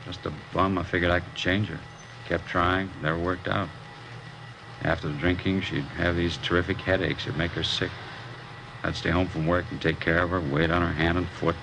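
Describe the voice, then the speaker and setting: Bitter, low-voiced American working stiff. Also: male voice, little pitch movement, normal speed. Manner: blunt, slangy, resentful. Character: bitter, fed-up working-class welder estranged from his wife. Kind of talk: old-time radio crime drama acting. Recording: noisy old radio recording, machinery hum.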